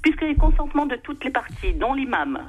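A woman's voice: high-pitched, sing-song, fast, soft background music. An older woman phoning in to a radio show, insistent and explaining at length. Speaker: caller. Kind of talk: phone call-in. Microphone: telephone. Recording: dull phone line.

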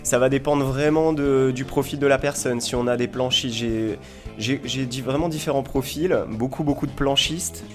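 A man from southern France giving an informal interview as a sports instructor, explaining with enthusiasm and frequent pauses. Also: medium pitch, steady tone, soft background music. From Montpellier, southern France. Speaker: foil school owner and instructor, interview guest.

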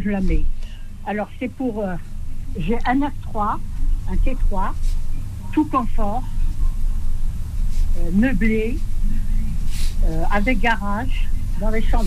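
A woman calling into a radio show by phone, speaking quietly and listing details plainly. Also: medium pitch, noisy background. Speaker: caller. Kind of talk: phone-in caller placing an ad. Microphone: phone line.

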